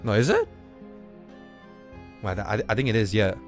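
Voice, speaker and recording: A man's voice: on the low side, sing-song, normal speed. A man livestreaming a game with casual, sweary, slangy commentary, often repeating his words. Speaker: streamer. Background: game music.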